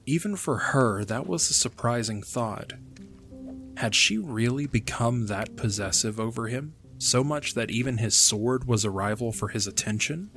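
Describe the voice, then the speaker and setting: A man's voice: medium pitch, normal speed, a bit bright, faint music behind. A man reading scripted third-person narration for a fantasy audio drama.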